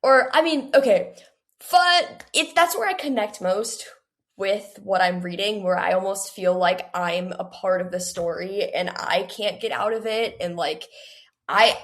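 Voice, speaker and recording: A woman's voice: mid-range voice, measured pace, a bit bright. A young woman, speaking as an interview guest in an eager, rambling, casual way full of filler likes. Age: late teens, young adult. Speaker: author, podcast guest.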